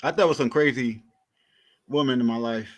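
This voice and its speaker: A man's voice: medium pitch, moderate pace. A man in his forties co-hosting a podcast, cracking crude jokes and swearing. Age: 40s. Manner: crude joking, swearing and laughing. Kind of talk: casual chat.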